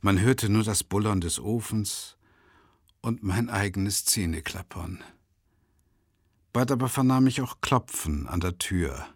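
Weary traveling lecturer, dryly recorded. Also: male voice, on the low side, measured pace, husky, no echo, clean studio sound. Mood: wry narration. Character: weary, polite male traveling lecturer narrating his own mishaps. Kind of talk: radio drama narration and dialogue.

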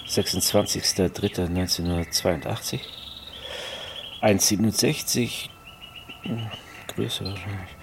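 A man's voice: low-pitched, background noise. An actor playing a matter-of-fact male homicide detective in a naturalistic radio drama, with a businesslike, conversational manner.

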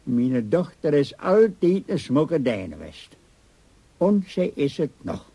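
An actor playing a stubborn, concerned older father in a radio drama, with a homely Low German accent. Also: very animated, slow, gravelly, dark tone, husky, no echo, heavily acted. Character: concerned, stubborn old father of a grown daughter.

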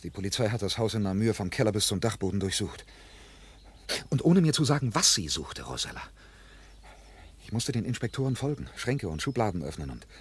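Distraught accused widower for radio crime drama: very husky, swooping pitch, halting and anxious. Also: male voice, full character voice. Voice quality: husky, breathy. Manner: halting, stammering, emotionally agitated.

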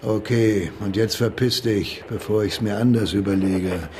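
A man's voice: on the low side, fairly even intonation, measured pace, a little mellow, slight background noise, lightly acted. An actor playing a pompous, condescending American police officer in a radio drama, patronising and emphatic in his delivery. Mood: gruff dismissal. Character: pompous, condescending male American cop lording it over underlings.

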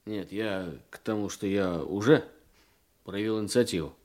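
A man's voice: on the low side, moderate pace, darkish tone, close to an everyday voice. An actor playing an earnest, diligent detective in a Russian radio drama, explaining things eagerly and deferentially to his superior.

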